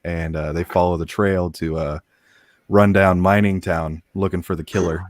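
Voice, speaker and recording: Low, dry-voiced man pitching his comic. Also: fairly even intonation, no room sound. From English- and German-speaking. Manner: laid-back, halting with many fillers. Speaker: guest comic creator. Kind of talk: casual podcast chat.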